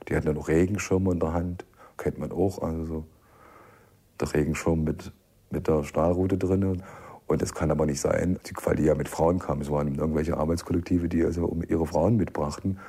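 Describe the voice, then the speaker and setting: Male eyewitness from East Germany, dark mellow tone, colloquial storytelling. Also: a bass voice, steady tone, a little fast, creaky, husky. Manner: colloquial, anecdotal. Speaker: eyewitness interviewee. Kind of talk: spontaneous spoken recollection.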